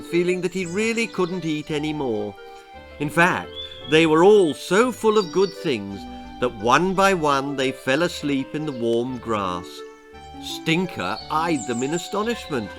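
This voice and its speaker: British man, lively children's narrator, unhurried pace, faint music behind. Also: fairly high pitch. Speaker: author reading own children's story. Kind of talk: animated scripted storybook narration.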